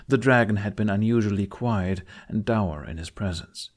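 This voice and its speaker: A man's voice: on the low side, moderate pace. A man reading scripted, dramatic fantasy narration as an audiobook narrator.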